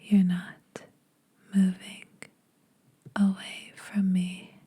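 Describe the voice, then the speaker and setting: A woman voicing a teasing, predatory yet protective female vampire in a breathy whispered ASMR roleplay, speaking slowly and a little formally. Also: mid-range voice, monotone, dark tone, heavily acted. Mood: seductive, predatory. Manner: slow, deliberate, faintly formal teasing.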